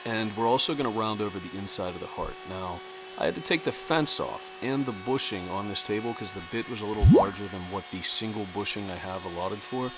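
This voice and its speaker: A man hosting a casual woodworking tutorial in his workshop, relaxed and self-deprecating. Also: on the low side, fairly quick, hardly any pauses, background noise. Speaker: woodworking host. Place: home woodshop.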